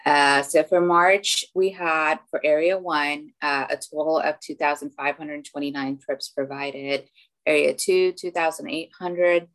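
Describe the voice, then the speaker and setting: A woman from community services giving a report on a video call, reading numbers steadily and filling pauses with "um". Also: deep voice, low-quality recording. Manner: steady, filler-laden figure reading.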